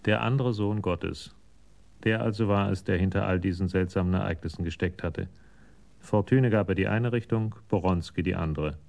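A man narrating a scripted radio crime play in a sober storytelling manner, with a gravelly voice. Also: sits low, moderate pace, a little mellow.

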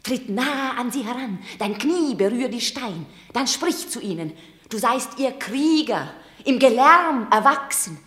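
A woman's voice: a little high, expressive, unhurried, sharp tone, roomy sound. An actress playing a shrewd, commanding mother urging her son in a radio drama.

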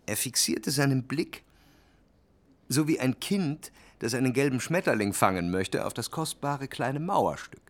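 A man's voice: medium pitch, expressive, unhurried, dry recording. A man narrating a literary radio drama in a reflective, earnest manner, recorded close in a studio. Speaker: first-person narrator. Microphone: close, dry studio.

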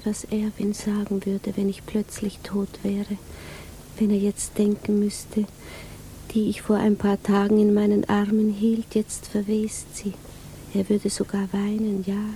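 An actress in a 1950s radio drama playing an anxious, brooding woman who speaks in a hushed, introspective manner. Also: medium pitch, natural voice. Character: anxious, brooding provincial woman, inwardly troubled. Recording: noisy 1950s radio recording.

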